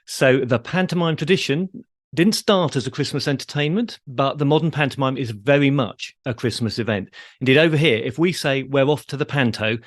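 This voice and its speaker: A man's voice: medium pitch, a little fast, no echo. British man hosting, in a dry recording. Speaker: podcast host. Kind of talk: conversational interview on a theatre podcast.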